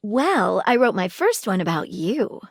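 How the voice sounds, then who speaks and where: Flirty detective magnifying glass. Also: female voice, mid-range voice, very animated, measured pace, slightly rough, no room sound, clean studio sound, heavily acted. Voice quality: playful, dramatic, flirtatious. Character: sleuthing female magnifying glass, flirty and nosy. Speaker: dateable game character. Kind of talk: video game voice lines. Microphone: close studio mic.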